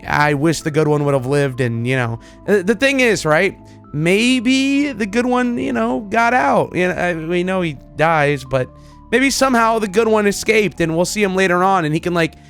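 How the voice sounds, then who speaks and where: A man giving casual, excited commentary as a gaming video creator, rambling in an informal, enthusiastic way. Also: sits high, moderate pace, creaky, soft background music.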